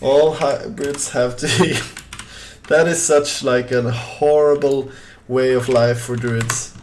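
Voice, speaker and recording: A man's voice: mid-range voice, fairly even intonation, takes it slowly, a little hiss or hum. A young man from southern Sweden with a Swedish accent, a game streamer chatting casually and rambling with lots of fillers while he plays. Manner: rambling, many fillers and restarts, easygoing. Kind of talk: casual chat while gaming and reading chat.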